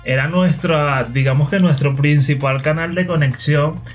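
Man with a slightly high voice. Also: steady tone, normal speed, soft background music. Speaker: podcast host. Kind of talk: casual podcast chat.

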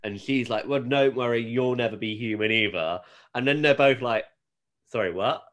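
A man's voice: medium pitch, moderate pace, a bit bright. Rambling man in a casual chat. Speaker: podcast co-host recapping a TV show. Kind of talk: casual chat, episode recap.

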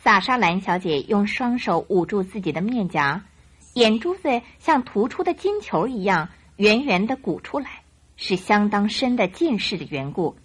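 Lively, husky woman storyteller. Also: medium pitch, normal speed. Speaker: narrator of a radio drama. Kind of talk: expressive storytelling narration. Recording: music behind the voice.

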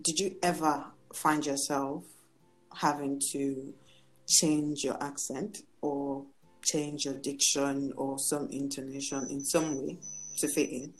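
A woman with a low voice hosts a podcast and talks in a chatty, expressive way. Soft music plays faintly behind her. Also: slow pace, rough recording. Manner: chatty, expressive, laughs easily. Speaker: podcast host. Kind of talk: casual podcast chat.

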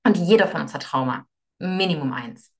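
A young woman who grew up in Russia speaks as a podcast guest. Her voice sits slightly low, and she explains her ideas earnestly, often circling back and repeating words as she thinks aloud. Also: expressive, normal speed. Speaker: yoga teacher and guest. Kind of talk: conversational podcast interview.

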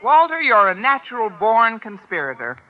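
Wry schoolteacher, dull old radio sound. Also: female voice, fairly high pitch, sing-song, normal speed. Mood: scheming. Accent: American. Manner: dry, sardonic, mock-deferential. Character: wry, scheming female high school teacher. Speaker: actress in a radio comedy. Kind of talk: old-time radio sitcom acting. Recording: muffled vintage radio recording.

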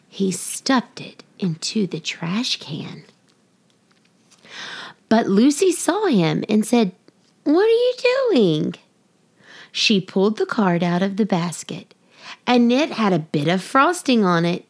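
Slow, animated woman reading to children. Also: medium pitch. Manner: addresses young children directly, expressive and deliberate. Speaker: podcast host reading a children's picture book.

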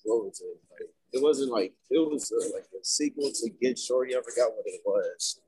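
A man's voice: medium pitch, moderate pace, warm tone, rough recording. A man in casual chat with friends, speaking hesitantly and trailing off.

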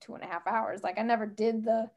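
A middle-aged woman with an American accent and a fairly high voice. She is a podcast guest telling personal anecdotes. Her delivery is informal and conversational, full of little asides. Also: fairly even intonation, fast, a little mellow, muffled recording. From United States, West Coast. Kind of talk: casual anecdotal chat.

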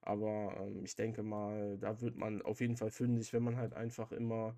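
A man's voice: fairly low pitch, monotone, moderate pace, gravelly, warm tone. A man hosting a podcast, chatting casually and informally. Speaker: podcast host.

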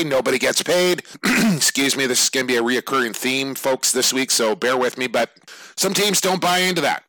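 Man, hoarse raspy voice, bright forceful tone, dry close sound, casual rambling sports talk. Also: medium pitch, no room sound, poor audio. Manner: rambling, conversational, lots of fillers. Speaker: lacrosse podcast host.

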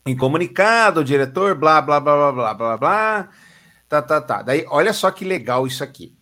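A man's voice: a little high, lively intonation, moderate pace, pushed and bright. Brazilian man reading news, sarcastic asides. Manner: reads news stories aloud, then comments sarcastically. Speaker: podcast co-host reading news. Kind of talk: casual news-reading podcast chat.

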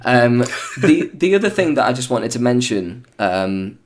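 A hoarse British man hosting a podcast, chatting casually and rambling with plenty of fillers and restarts. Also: mid-range voice, sing-song, measured pace. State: hoarse, recovering from illness. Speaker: podcast host.

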